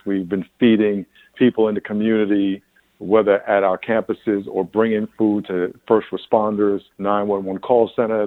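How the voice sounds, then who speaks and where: Older guy pastor talking over a phone line, lowish voice, dry and tinny. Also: no room sound. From New York. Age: 60s. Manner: candid, rambling with restarts and laughs. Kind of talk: interview answers.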